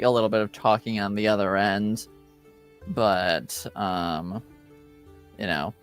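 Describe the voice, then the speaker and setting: A man narrating a tabletop roleplaying game as game master, casual and halting as he searches for words. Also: on the low side, fairly bright tone, clear, resonant tone.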